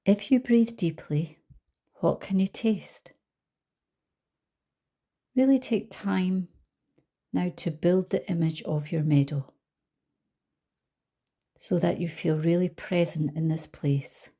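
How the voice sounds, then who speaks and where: A woman leading a guided visualisation as a coach, speaking calmly and gently with soft trailing phrases. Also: fairly low pitch, takes it slowly, long pauses. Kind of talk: guided meditation narration.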